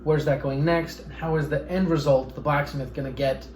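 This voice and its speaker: A man's voice: fairly high pitch, fairly even intonation, moderate pace, darkish tone. A man hosting an interview, listening attentively with short hums and summing up what his guests say. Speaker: podcast host. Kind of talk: casual interview talk. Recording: echoey room with faint noise.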